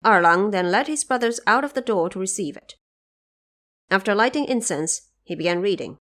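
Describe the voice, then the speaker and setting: A woman narrates a scripted audio drama as its storyteller. Her voice sits at a middle pitch for a woman. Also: lively intonation, moderate pace, fairly bright tone.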